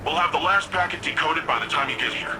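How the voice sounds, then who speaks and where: An actor playing a brash, restless young warrior in a video game, talking in an upbeat, cocky, joking way over loud game music. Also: male voice, on the high side, close to an everyday voice, poor audio. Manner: upbeat, cocky, quick to joke.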